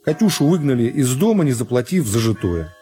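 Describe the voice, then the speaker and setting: A man narrating a literary story for a radio drama in a measured, phrase-by-phrase storytelling manner. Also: medium pitch, big pitch swings, dark tone. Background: music.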